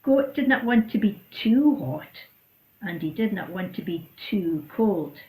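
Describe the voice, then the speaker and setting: Slow woman reading a bedtime story. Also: medium pitch, expressive, dark tone. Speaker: church storyteller. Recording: roomy echo.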